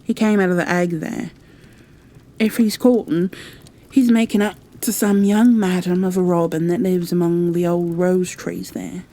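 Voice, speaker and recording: A woman's voice: on the low side, little pitch movement, unhurried, mellow. A woman voicing a surly, blunt old moorman gardener with a Yorkshire dialect in a storybook reading. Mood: grumbling. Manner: gruff, blunt dialect speech.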